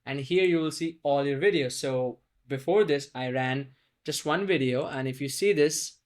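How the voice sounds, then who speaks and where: A man talks through a software tutorial in an easygoing, unscripted way, his voice pitched slightly above the usual male range. He loops back on his phrases and keeps checking in with a quick "right?" as he clicks along, as if recording at his desk. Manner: loose, repeats "right?" often, restarts phrases. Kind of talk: casual screen-recorded tutorial.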